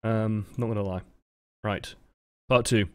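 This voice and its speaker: A man's voice: fairly low pitch, fairly even intonation, unhurried, smooth, dry recording. Dry-voiced man coaching over gameplay. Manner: casual, explanatory, talks over footage. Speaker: game coach. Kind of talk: gameplay review commentary.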